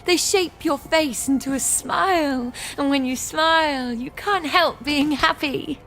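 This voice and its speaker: A high, sugary, sinister lady. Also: measured pace, a bit bright, faint music behind. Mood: chillingly cheerful. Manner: sugary, patronising. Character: serenely sinister, forcibly cheerful woman. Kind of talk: video game character acting.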